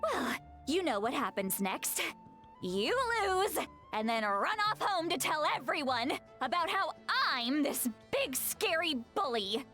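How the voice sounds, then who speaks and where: A woman's voice: sits high, big pitch swings, measured pace, bright, forceful tone, full character voice. An actress playing a proud, prickly, tipsy shaman woman in an anime-style game, dramatic and drunken in delivery. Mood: drunken indignation. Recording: music behind the voice.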